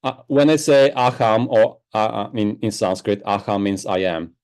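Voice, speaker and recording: A man's voice: lowish voice, steady tone, moderate pace, darkish tone, clean tone. A man from Bulgaria, Bulgarian his first language, explaining spiritual ideas enthusiastically as a podcast guest. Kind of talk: podcast interview.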